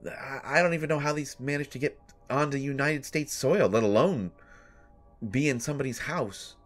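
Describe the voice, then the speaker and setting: A man playing a haunted, sleepless brother telling a horror story in first person, with halting, deliberate phrasing and long pauses. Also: medium pitch, natural voice. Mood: exasperated, pleading. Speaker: audio drama storyteller. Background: music.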